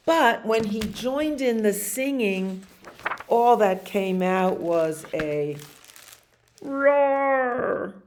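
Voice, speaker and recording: Slow-paced older woman reading a picture book. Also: medium pitch, slight background noise. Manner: expressive, explains pictures, asks listeners questions. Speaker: library storyteller reading picture books to children. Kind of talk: read-aloud storytime with chatty asides. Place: library activity room, indoors.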